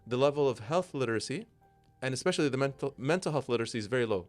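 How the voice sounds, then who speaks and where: A man's voice: medium pitch, expressive, very fast, faint music behind. A middle-aged man with an American accent, a psychiatrist explaining his career as a talk show guest in an articulate, flowing way. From United States.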